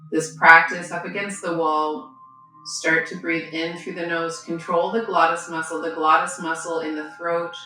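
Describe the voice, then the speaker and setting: Slow-paced woman guiding yoga in an echoey room. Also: low-pitched. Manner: gentle, unhurried, instructional with pauses. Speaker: yoga teacher. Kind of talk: guided yoga and breathing instruction. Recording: very echoey room, faint background noise.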